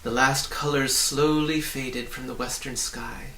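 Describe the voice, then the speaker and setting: A man with a medium-pitched voice narrates a storybook. He is recorded indoors in a roomy space, a little away from the mic, with faint hiss behind him. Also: steady tone, unhurried, bright, forceful tone, recorded from a distance. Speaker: audiobook reader.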